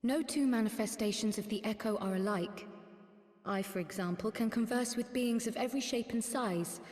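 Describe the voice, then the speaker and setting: A woman playing a learned, gentle mystic in a fantasy game, speaking formally and with measured, articulate phrasing. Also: on the high side, natural voice. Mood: earnest, explaining. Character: learned, gentle female mystic who hears souls. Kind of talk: scripted fantasy game acting. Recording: echoey hall reverb.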